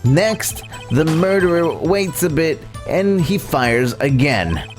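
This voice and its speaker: A man voicing a young defense lawyer in a courtroom video game, earnest and nervous but determined, explaining in halting, formal speech. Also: on the high side, very animated. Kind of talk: live voiced playthrough of a courtroom video game. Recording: game music under the voice.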